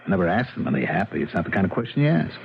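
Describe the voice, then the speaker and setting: A plain-spoken American husband muses in a low voice, exasperated and emphatic, circling back over his own words. It is a slightly dull 1970s radio drama recording with faint noise. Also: very animated, racing, creaky, full character voice. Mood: puzzled, defensive. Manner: emphatic, musing, repeats himself.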